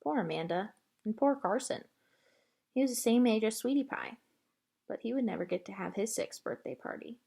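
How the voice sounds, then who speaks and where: A woman narrating a children's book aloud in an expressive read-aloud style. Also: a little high, moderate pace, vocal fry, husky.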